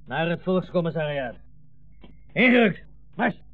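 A man's voice: a little high, big pitch swings, unhurried. An actor playing an officious, sneering policeman in a 1960s radio play, curt, sarcastic and commanding. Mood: stern, mocking. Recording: dull 1960s radio recording, music behind.

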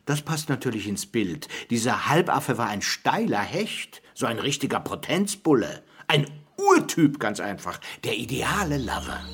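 An actor playing an ambitious, defensive politician with a bitterly sarcastic, indignant manner in a radio drama. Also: male voice, medium pitch, sing-song, moderate pace, hardly any pauses, breathy edge, heavily acted. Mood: bitter sarcasm. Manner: indignant, sarcastic, swinging from hushed to loud.

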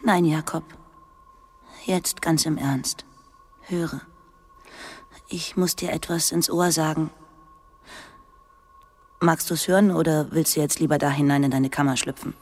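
An actress playing a wistful, gentle young lady teacher in a radio drama, confiding softly and haltingly. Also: sits low, steady tone. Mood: earnest, confiding. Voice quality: soft, breathy, hushed. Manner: slow, halting, intimate confiding. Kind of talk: literary radio drama acting.

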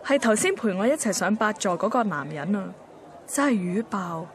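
A woman's voice: mid-range voice, expressive, normal speed, a faint crowd, a little hiss or hum, lightly acted. An actress playing a sentimental, timid young woman photographer, narrating a radio drama introspectively in Hong Kong Cantonese. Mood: self-conscious. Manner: introspective, colloquial storytelling.

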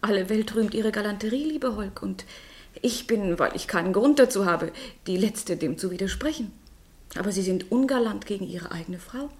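A woman's voice: mid-range voice, moderate pace, darkish tone, lightly acted. An actress playing a haughty, flirtatious noblewoman in a radio play, speaking in an eloquent, mocking way. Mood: needling. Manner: mocking, eloquent, formally polished. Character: haughty, witty, flirtatious noblewoman rebuking her married lover. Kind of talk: German literary radio play acting.

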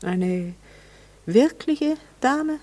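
A woman's voice: high voice, lively intonation, very slow, warm tone, exaggerated voice. An actress playing a married society lady with a Viennese accent in a radio drama, sarcastic, imperious and playfully reproachful. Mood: sarcastic, mocking. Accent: Austrian, Viennese. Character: sharp-tongued married society lady, coolly mocking yet flirtatious. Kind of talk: German radio drama dialogue.

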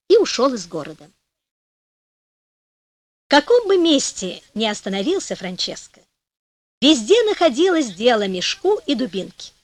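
A woman narrating a folk fairy tale for an audiobook with expressive storytelling. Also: on the high side, no room sound. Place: recording studio.

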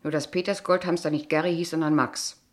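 An actress in a German radio play as a polite, probing kindergarten teacher, speaking in a measured, formal, pointed way. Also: female voice, deep voice, steady tone, close to an everyday voice. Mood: insistent, probing. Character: polite, insistent, probing kindergarten teacher, a young unmarried woman.

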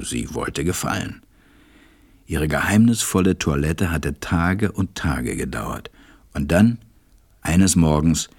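An actor playing a gentle, reflective storyteller, narrating a storybook radio play. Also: male voice, rumbling low, husky, no echo, clean studio sound, heavily acted. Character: reflective adult male narrator recalling a little friend.

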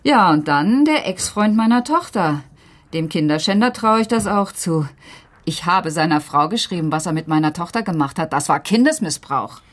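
An actress in a radio crime drama playing a defensive schoolteacher around forty who talks haltingly and insistently and is easily agitated. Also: female voice, lowish voice, animated. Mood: accusing. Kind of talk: naturalistic German radio crime drama acting.

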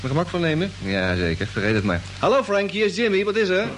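A man playing an eager, grumbling, chatty spaceship radio operator in lively, colloquial old radio drama style. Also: high-pitched, fast talker. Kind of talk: 1950s Dutch radio drama acting. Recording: old, faintly noisy radio recording.

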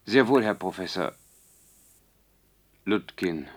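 Deferential, low-voiced male brain-bank operative. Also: lively intonation, exaggerated voice. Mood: servile, correct. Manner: formal, deferential, measured. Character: subservient male brain-bank operative, number forty-five, politely restrained. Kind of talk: German radio play acting.

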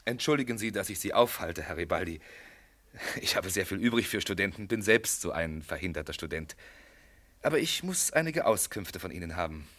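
A man's voice: low voice, lively intonation, brisk, a bit bright. A male actor playing a long-suffering, courteous but dryly official police inspector in a radio crime drama. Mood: polite, probing.

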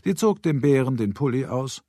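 A male audiobook narrator with speech and a medium pitch. He reads literary prose as scripted narration, in a very dry recording. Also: animated, moderate pace, mellow, no room sound.

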